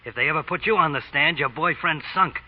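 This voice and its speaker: A man's voice: fairly high pitch, fast talker, lightly acted. An actor playing a pushy, sly, insinuating man who talks in slang, in 1940s radio acting style. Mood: pressing. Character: pushy, sly man pressuring a young woman. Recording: dull old radio recording.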